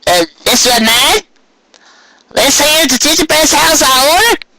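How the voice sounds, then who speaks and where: Man putting on a shrill old country granny pony. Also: expressive, a little slow, background noise, heavily acted. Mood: suspicious, indignant. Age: older. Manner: folksy rural turns of phrase. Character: elderly country granny pony, feisty, blunt, warm-hearted. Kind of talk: amateur storybook character reading.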